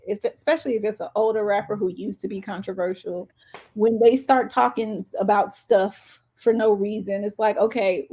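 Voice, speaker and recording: Female podcast co-host, slightly high voice, casual and candid, with a wry informal delivery. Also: a little mellow. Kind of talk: casual chat.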